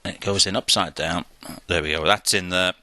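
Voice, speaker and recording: A man's voice: low-pitched, fairly even intonation, normal speed, bright, forceful tone, no echo. A man giving a methodical, step-by-step instructional equipment demonstration. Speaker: product demonstrator.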